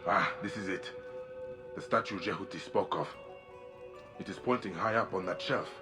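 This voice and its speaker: A man's voice: deep voice, moderate pace, lightly acted. An actor playing a grim, determined man investigating an ancient curse in a video game, with brooding intensity. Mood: brooding, focused. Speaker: game protagonist. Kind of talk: dramatic game acting. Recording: loud music behind the voice. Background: loud music.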